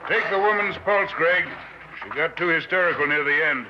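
Actor as a grave, commanding doctor, muffled recording. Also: male voice, on the high side, over loud music, close to an everyday voice. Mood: brisk, commanding. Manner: deliberate, emphatic. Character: grave, authoritative, humane doctor running an experiment. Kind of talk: 1960s radio drama acting. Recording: muffled, noisy old radio recording.

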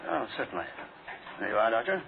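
An actor playing a cool, double-crossing hired killer in a 1940s radio drama, speaking with smug, understated courtesy. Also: male voice, medium pitch, big pitch swings, fast, vocal fry. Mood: polite, guarded. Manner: understated, formal, smugly courteous. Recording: tinny, noisy old 1940s radio recording.

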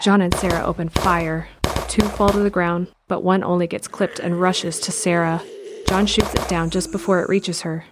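A woman giving steady scripted narration of an audio drama in the present tense. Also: lowish voice, normal speed, noisy background.

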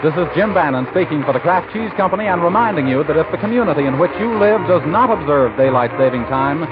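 A man's voice: fairly high pitch, animated, fast, music in the background. An American man working as a 1940s radio announcer, reading scripted copy with polished, emphatic salesmanship. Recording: muffled vintage 1940s radio recording.

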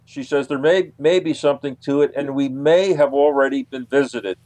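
A man explaining things as an interview guest, in a halting way that often repeats words. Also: mid-range voice, a little mellow, no room sound. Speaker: guest, UFO researcher and author.

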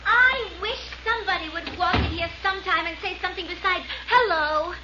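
An actress playing a bored, chatty small-town telephone operator in 1940s radio style. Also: female voice, extremely high pitch, fairly quick. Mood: bored, flirty. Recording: dull, noisy old radio recording.

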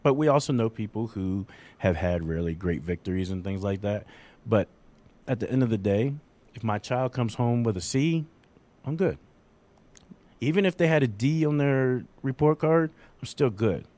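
A man's voice: medium pitch, animated, normal speed, darkish tone. A man in his forties talking in a casual, rambling and candid way, self-deprecating about his own life. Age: 40s. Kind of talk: casual chat.

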